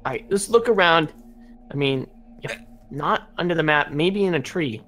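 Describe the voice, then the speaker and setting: Man playing a lively, high-pitched young son. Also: moderate pace. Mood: wary. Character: eager, worried young son stranded on zombie island. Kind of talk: improvised video game roleplay. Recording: game music behind voice. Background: background music.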